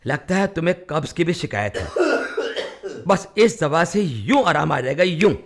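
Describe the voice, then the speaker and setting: An actor playing a bossy, cocksure friend who briskly pushes folk cures in a radio play. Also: male voice, on the high side, sing-song. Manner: brisk, insistent, chiding. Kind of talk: Hindi radio play acting.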